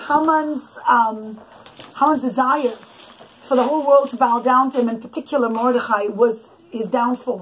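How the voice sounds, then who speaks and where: A middle-aged woman, a mother telling her children a bedtime story in an expressive, emphatic, instructive way, in a bedroom. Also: high voice, low-quality recording. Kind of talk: animated storytelling with asides to children. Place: small children's bedroom, indoors. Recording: clicky home recording with background noise.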